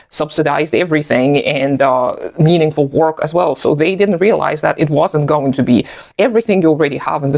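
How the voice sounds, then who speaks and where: Reflective Russian-speaking man, slightly high voice. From post-Soviet country. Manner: thoughtful, measured, occasional soft laughs. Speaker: video essayist. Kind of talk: reflective personal commentary.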